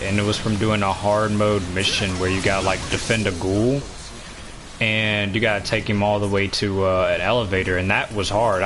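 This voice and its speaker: Young male streamer, 19, deep low voice, loose rambling delivery, noisy background hiss. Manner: rambling, many fillers and restarts. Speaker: gaming streamer. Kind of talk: casual chat while streaming gameplay.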